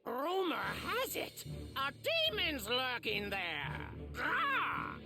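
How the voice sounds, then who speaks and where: Squawky bossy game crow, high n sharp, wild swoops, drags words out. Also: female voice, huge pitch swings, over loud music, exaggerated voice. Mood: ominous, commanding. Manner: imperious, drawn-out cries. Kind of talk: English video game voice acting.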